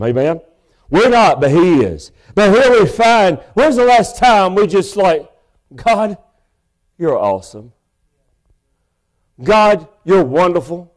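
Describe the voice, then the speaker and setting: A man, preaching a sermon from a church pulpit microphone in a big, loud voice with folksy asides. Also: a little high, sing-song, slow, pauses often. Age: 50s. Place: church sanctuary.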